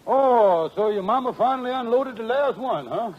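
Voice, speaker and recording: An actor playing a lazy, wisecracking schemer of a husband in 1940s radio comedy, with folksy ungrammatical dialect. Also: male voice, very high-pitched, animated, normal speed. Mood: teasing. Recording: muffled, noisy 1940s radio recording.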